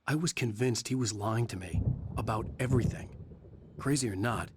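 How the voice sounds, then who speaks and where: A man's voice: lowish voice, normal speed, background noise, lightly acted. An actor playing a troubled, suspicious man who narrates his own story in a video game. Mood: brooding. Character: troubled male narrator, wry, suspicious, brooding. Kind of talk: first-person game narration.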